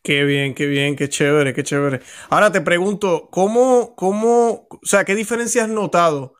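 A man's voice: sits high, normal speed. A male interviewer asking encouraging questions, often restarting as he phrases them.

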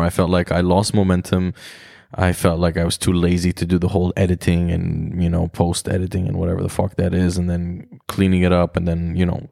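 Male solo podcaster with a very deep voice, casual and rambling, recorded dry in his room. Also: creaky, mellow, no room sound. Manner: rambling, reflective, swears freely. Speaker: podcast host.

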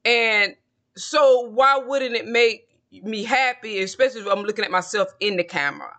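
A man's voice: high-pitched, moderate pace, bright, forceful tone. A man in his late forties, a tarot video creator, talking in a self-assured, rambling solo monologue that keeps circling back to the same points. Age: 40s. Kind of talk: casual solo monologue to camera.

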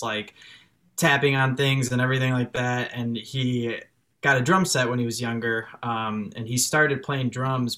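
A young man with a Chicago accent who grew up speaking French, a musician chatting casually as a guest over a video call, laughing often. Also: mid-range voice. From Chicago, raised in France till six. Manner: laughs often, restarts and fillers, relaxed.

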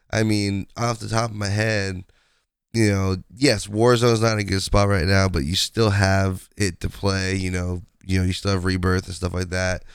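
Raspy-voiced man with a low voice. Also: no room sound. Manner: rambling, conversational, many fillers. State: raspy from a sinus infection. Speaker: podcast host. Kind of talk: casual solo podcast chat.

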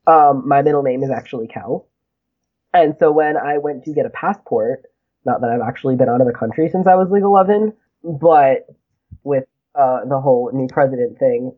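A young man with a high voice, talking in a chatty, rambling way with lots of "like" and small laughs. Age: young adult. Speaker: guest, graduate student. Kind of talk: casual conversation. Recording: tinny phone-like husky sound.

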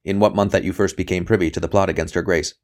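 A man's voice: deep voice, steady tone, fast talker, darkish tone, natural voice. A man voicing a relentless official interrogator in an audiobook, insistent and coolly impatient, pressing with clipped questions. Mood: pressing, stern.

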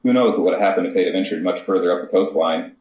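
A man's voice: deep voice, rapid-fire, reverberant, dull audio, natural voice. A man voicing a male owl who talks in a folksy, ungrammatical way, during a story reading. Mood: wry. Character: male owl with an odd frightening smile.

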